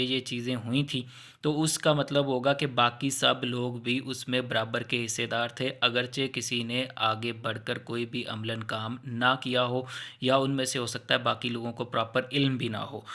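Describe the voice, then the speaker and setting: A man's voice: mid-range voice, pushed and bright. A man with a Pakistani accent giving an informal explanatory law lecture, going step by step with examples and mixing in English legal terms.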